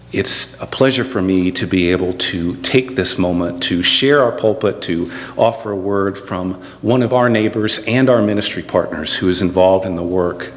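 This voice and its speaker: A man speaking as a pastor, giving an informal, halting introduction from the pulpit. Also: deep voice. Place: church sanctuary. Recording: long church-hall reverb.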